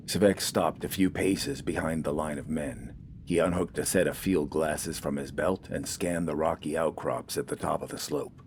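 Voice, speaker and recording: A male audiobook narrator with a very low voice reads a scripted story. There is a slight rumble of noise behind him. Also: darkish tone.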